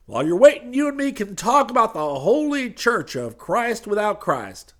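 Oily huckster preacher, high and pleading, very sing-song, as a man puts him on. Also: big pitch swings, normal speed, fairly bright tone. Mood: wheedling. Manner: high sugary pleading voice, Southern drawl. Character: fast-talking oily male radio preacher, wheedling.

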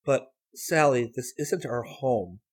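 Man putting on a cautious, soft-mannered polite girl. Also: medium pitch, animated, slow pace, husky, pitched above the performer's own voice. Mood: hesitant.

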